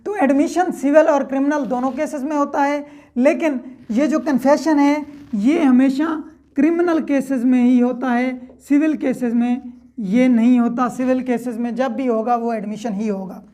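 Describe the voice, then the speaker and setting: A woman's voice: high voice, fairly even intonation, moderate pace, mellow. A woman giving an explanatory lecture, step by step, repeating points and checking that listeners follow. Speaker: law lecturer.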